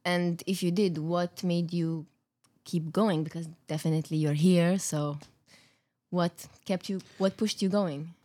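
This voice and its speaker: A woman's voice: fairly low pitch, steady tone, warm tone. Young woman, upbeat, agreeable, asks questions, casual group talk. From Romania and Jordan. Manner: upbeat, agreeing, quick to laugh. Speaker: interviewer.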